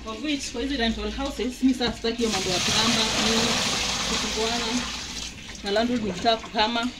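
A woman's voice: a little high, fairly even intonation, quick pace, heavy background noise. Kenyan woman chatting over running water. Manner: chatty, mixes Swahili and English, pointing things out. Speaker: vlogger. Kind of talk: casual how-to demonstration. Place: small home laundry area, indoors. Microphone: handheld phone camera.

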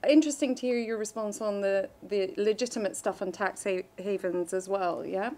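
A woman moderating a panel Q&A in a conference room, briskly steering questioners to their point. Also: medium pitch, darkish tone, a little hiss or hum. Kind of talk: chairing a Q&A.

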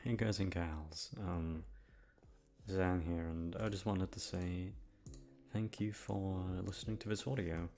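A man's voice: sits low, steady tone, a little slow, a little mellow. A young man chatting casually to his listeners, rambling in a hesitant, self-deprecating, mildly sweary way. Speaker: audio roleplay creator.

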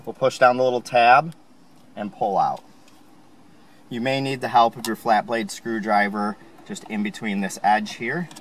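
A man calmly explaining, step by step, how to install a car part, recorded inside a car. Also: medium pitch, unhurried, slight background noise. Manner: calm, plain instructional. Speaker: installation instructor.

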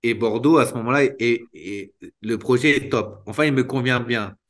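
A man in his thirties, a former footballer, talking candidly in an interview over a video call, restarting sentences and filling pauses often. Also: medium pitch. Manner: spontaneous, halting, many restarts and fillers. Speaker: retired footballer guest. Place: small room indoors. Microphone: video call webcam.

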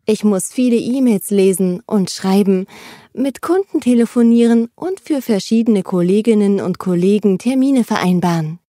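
A woman reading first-person texts aloud for language learners, with a steady, neutral scripted delivery. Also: mid-range voice, normal speed, dry recording, studio quality. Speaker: reader of a language-learning text.

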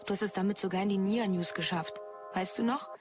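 Actress as blunt woman over loud music. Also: medium pitch, steady tone, quick pace, natural voice. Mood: troubled, sceptical. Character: blunt, irritated woman with a guilty conscience. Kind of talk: colloquial audio drama acting.

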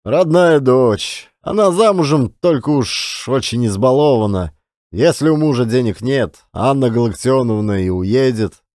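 Man playing slow, plaintive, bitter drinker. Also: mid-range voice, animated, a little mellow, no echo, studio quality, natural voice. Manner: plaintive, aggrieved. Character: weak, self-pitying, bitter drinking younger man.

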